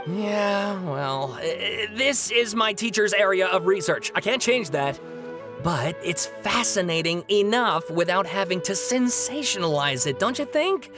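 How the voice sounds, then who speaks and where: Young scholar for a video game, played by a woman: lively pitch swings, earnest, a bit awkward, faint music behind. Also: a little slow, natural voice. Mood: exasperated, earnest. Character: earnest young student, slightly awkward, exasperated. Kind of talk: animated video game voice acting.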